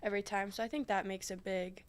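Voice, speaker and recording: A woman's voice: medium pitch, fairly even intonation, fast talker. A young woman hosting a casual sports interview, chatty and filling her sentences with "like" and "you know.". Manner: chatty, many fillers like "like".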